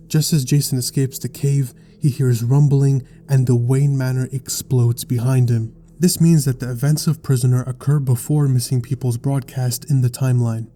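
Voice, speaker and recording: A man narrating a scripted explainer in a measured, articulate storytelling way. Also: mid-range voice, warm tone. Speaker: video essay narrator.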